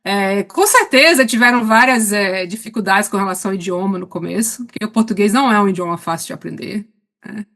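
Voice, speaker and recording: A middle-aged woman with a Brazilian accent chatting casually as a guest, halting as she searches for words. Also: mid-range voice, a bit bright. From Salvador, Brazil. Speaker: podcast guest. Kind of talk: casual autobiographical chat.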